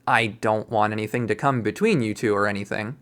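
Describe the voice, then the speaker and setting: A man voicing an awkwardly hopeful young male student in a visual novel, halting, anxious and earnest. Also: on the low side, a bit bright, clean tone, close to an everyday voice. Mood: nervous, earnest.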